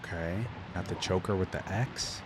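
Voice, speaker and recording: A man's voice: deep voice, steady tone, moderate pace, very noisy. A man giving casual, enthusiastic, slangy reaction commentary as host of a reaction video, with trailer music behind him. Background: game trailer music.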